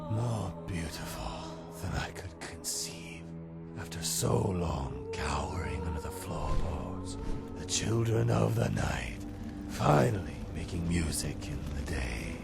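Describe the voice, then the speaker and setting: An ancient vampire tyrant in a video game, with a heavily creaking, gravelly voice that swings widely between low menace and grandiose proclamation. He is pompous and cruel, over loud music. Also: big pitch swings, slow, heavily acted. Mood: grandly savoring. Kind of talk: video game cutscene acting.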